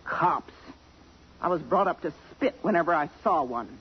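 An actress playing a blunt, defiant, fiercely loyal working-class housemaid in a 1950s radio drama. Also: female voice, fairly low pitch, animated, moderate pace, slight background noise, lightly acted. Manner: blunt, defiant, plain-spoken. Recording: muffled 1950s radio broadcast.

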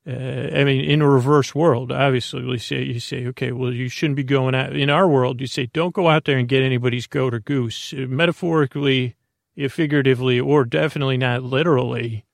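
Gravelly man rambling gently, close and dry. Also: mid-range voice, fairly even intonation, no room sound. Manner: meandering, halting, repeats and trails off mid-thought; gently silly, playful wordplay. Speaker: podcast host. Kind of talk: rambling, whimsical bedtime-style monologue.

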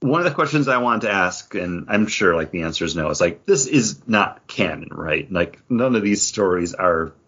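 A man's voice: deep voice, lively intonation, moderate pace, hardly any pauses, a bit bright, slightly rough, muffled recording. A man co-hosting a podcast, chatting casually and thinking aloud with frequent hedges. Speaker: comics podcast co-host. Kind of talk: casual chat about comic books.

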